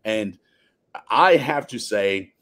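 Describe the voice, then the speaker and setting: A man's voice: on the low side, expressive, a bit bright. Emphatic male actor telling stories. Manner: emphatic, deliberate storytelling with dramatic pauses. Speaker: guest, actor. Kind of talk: casual interview chat.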